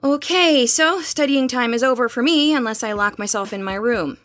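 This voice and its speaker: Actress as dry, skeptical young woman, higher-pitched. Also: moderate pace, a bit bright, close to an everyday voice. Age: young adult. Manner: dry, matter-of-fact, challenging. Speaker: voice actor in audio drama. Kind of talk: horror audio drama dialogue.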